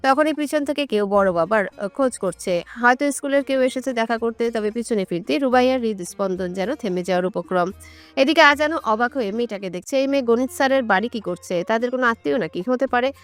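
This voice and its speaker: A woman's voice: on the high side, expressive, fairly quick, clear, resonant tone, soft background music. A woman giving a scripted narration of a romantic story.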